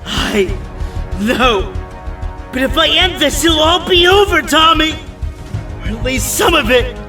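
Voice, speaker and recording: Man acting an intense, bright-voiced teenage hero. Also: very high voice, animated, slow, soft background music, exaggerated voice. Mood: enraged, anguished. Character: vengeful, anguished teenage male hero. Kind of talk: audio drama acting.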